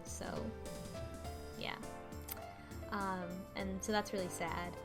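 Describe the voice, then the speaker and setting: A woman co-hosting a talk show, casually retelling a story in a halting, conversational way. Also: medium pitch, steady tone, over loud music. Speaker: podcast co-host. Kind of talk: casual recap discussion of a story.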